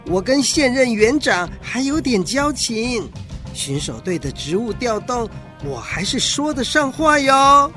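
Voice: mid-range voice, very animated, pushed and bright, soft background music. An actor playing a scheming retired male tiger frog, wheedling and insinuating, in a children's radio drama. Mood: veiled threat. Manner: slow, insinuating, with knowing chuckles.

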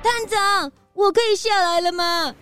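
A woman playing an excitable, childlike young detective's sidekick in a children's audio drama, eager and full of cute exclamations. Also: very high voice, a little slow, over music. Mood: amazed.

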